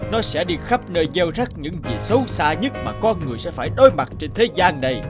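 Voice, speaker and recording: A man's voice: high voice, very animated, fast talker, music in the background. A man voicing a stern, scheming ruler of the gods in a fairy-tale cartoon, with an imperious, declamatory delivery. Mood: scornful. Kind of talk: animated fairy-tale dubbing.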